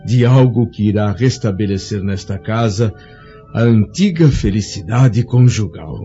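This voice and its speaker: A man's voice: fairly low pitch, expressive, a little slow, dark tone, lo-fi sound, soft background music. A man playing a proud, fatherly older Roman senator in a radio drama, solemn and emotional. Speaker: father of Flávia.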